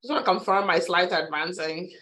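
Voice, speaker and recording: Hoarse woman doctor giving a webinar talk. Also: fairly low pitch, fast talker, a bit bright. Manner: conversational, many fillers, clears throat. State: hoarse from strep throat. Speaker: physician presenter. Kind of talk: webinar lecture. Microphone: video call.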